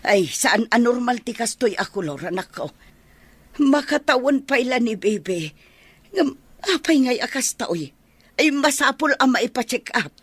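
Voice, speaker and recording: A woman's voice: fairly high pitch, expressive, measured pace, slightly rough, no room sound. A woman playing a caring, fussing older mother and new grandmother in a radio drama, with a Filipino accent. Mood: concerned.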